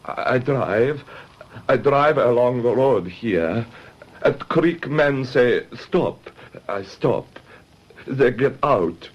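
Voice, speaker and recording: Nervous foreigner in halting English. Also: male voice, mid-range voice, expressive, highs cut off. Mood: anxious, halting. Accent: foreign, non-native English. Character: nervous young foreign male car-theft suspect. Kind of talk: radio detective drama acting.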